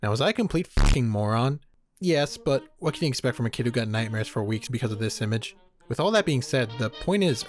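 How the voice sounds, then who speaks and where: Guy doing a jokey horror video essay, talks quick, sarcastic and sweary, music behind him. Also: medium pitch. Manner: sarcastic, joking, casually profane. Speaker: YouTube horror reviewer. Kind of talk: comedic video essay commentary. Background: background music.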